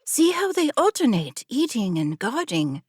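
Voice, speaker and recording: A woman voicing an eager, awestruck young trainee in a fantasy audiobook, with breathy, earnest wonder. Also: a little high, big pitch swings, measured pace, darkish tone, dry recording, clean studio sound, voice pushed up, heavily acted.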